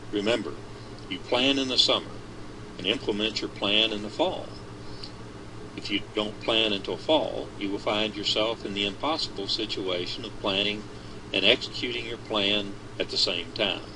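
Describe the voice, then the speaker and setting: A man reading a business article aloud with a measured, deliberate delivery. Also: lowish voice, background noise. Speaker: reader of the article.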